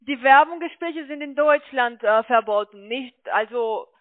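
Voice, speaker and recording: A woman with a foreign accent, a non-native speaker, arguing insistently and haltingly as a call center agent on a phone call. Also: high-pitched, no room sound. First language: not German. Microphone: telephone. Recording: narrow tinny phone line.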